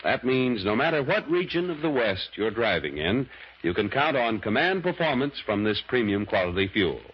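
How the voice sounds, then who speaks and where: Crisp, animated 1940s radio announcer, man. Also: medium pitch, moderate pace. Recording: dull 1940s radio recording.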